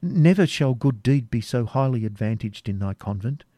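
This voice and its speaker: A man softly voicing a pleading young woman. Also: mid-range voice, expressive, moderate pace, no echo, lightly acted. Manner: soft, pleading.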